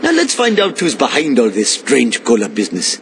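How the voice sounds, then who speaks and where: A man voicing an earnest, excitable Indian shopkeeper in a cartoon game, with an Indian accent and formal, theatrical wording. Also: medium pitch, fairly quick, noisy background. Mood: determined. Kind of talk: animated game cutscene acting.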